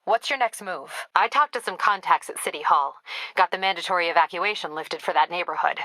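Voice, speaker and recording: A tough female police captain from a video game. Her voice is on the low side, bright and forceful, recorded close and dry. She talks in clipped, brisk phrases with a wry, sardonic edge. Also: no room sound, low-quality recording. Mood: businesslike.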